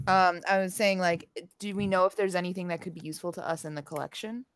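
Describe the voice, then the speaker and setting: A woman's voice: on the low side, fairly even intonation, quick pace, a little hiss or hum. A woman playing a tabletop game, chatting casually and a little tentatively in informal phrases. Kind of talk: casual chat during a game.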